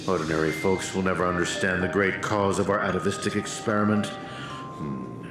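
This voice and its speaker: A man's voice: low-pitched, moderate pace, reverberant, music in the background. An actor playing a villainous research aide in a video game, a pompous man who lectures theatrically. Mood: smug, dramatic.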